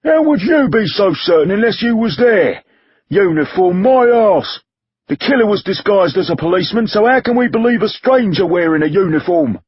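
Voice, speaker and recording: Brief: rough drunken street ruffian, read by a man, accusing and coarse, lively swings. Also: high-pitched, normal speed, no room sound, lo-fi sound, pitched above the performer's own voice. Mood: jeering hostile. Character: drunken rough Victorian mob man, hostile.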